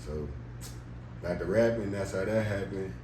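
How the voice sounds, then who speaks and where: A young man rapper, the guest, talking in a casual, slangy, laid-back way with a deep voice and lots of "you know what I'm saying.". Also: moderate pace. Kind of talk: casual interview chat. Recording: echoey room, distant mic, background noise.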